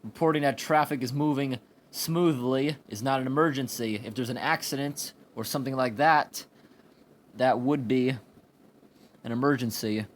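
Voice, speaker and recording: A man's voice: mid-range voice, moderate pace. Man reviewing a gadget, noisy home recording. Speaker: product reviewer. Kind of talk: informal hands-on product review. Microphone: handheld camera or phone microphone. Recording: amateur recording with background room noise.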